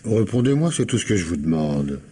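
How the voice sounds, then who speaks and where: An actor playing a shrewd, courteous police commissioner in a radio mystery drama, husky and politely insinuating as he questions methodically. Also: lowish voice, very animated, brisk, dark tone. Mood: probing, insistent.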